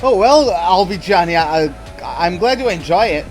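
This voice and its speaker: A young man whose first language is Portuguese talks over a racing game in a fairly high voice, rambling and chatty. He restarts sentences often, fills gaps with "uh" and "like," and pokes fun at himself. Soft game music plays faintly behind him. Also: animated, normal speed. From Portuguese-speaking country. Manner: rambling, chatty, many fillers and restarts, self-mocking. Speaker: gaming YouTuber. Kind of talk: casual let's-play commentary.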